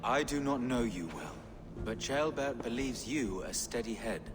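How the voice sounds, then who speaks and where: A man's voice: medium pitch, animated, measured pace, close to an everyday voice. A man playing a pious, pleading, anxious bishop in a video game, earnest and formal. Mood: urgent calming. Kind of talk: dramatic video game acting. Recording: reverberant hall, faint noise.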